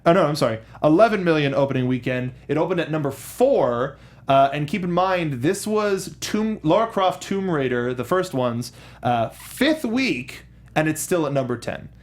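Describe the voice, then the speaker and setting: A man hosting a film discussion show, chatting casually in a halting, jokey way. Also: a little high, expressive. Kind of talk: casual panel chat about movies.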